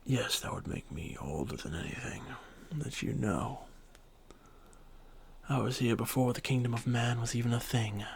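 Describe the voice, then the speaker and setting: A man playing an arrogant, domineering dragon thousands of years old, in a breathy, creaky half-whisper for a fantasy ASMR roleplay. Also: mid-range voice, slight background noise, natural voice. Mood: proud, brooding. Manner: slow, haughty, deliberate.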